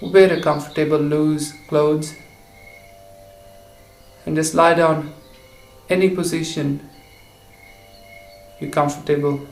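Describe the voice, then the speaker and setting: A man guiding a meditation for relaxation and sleep, speaking in short, halting phrases. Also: on the high side, fairly even intonation, gravelly. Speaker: meditation guide. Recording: roomy echo with faint insect noise.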